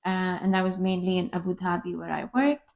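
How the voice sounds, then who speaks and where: A woman's voice: fairly low pitch, a little fast, gravelly, a little mellow. A woman from Dubai, a veterinarian, explaining things carefully with many fillers as she answers interview questions. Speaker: veterinarian guest.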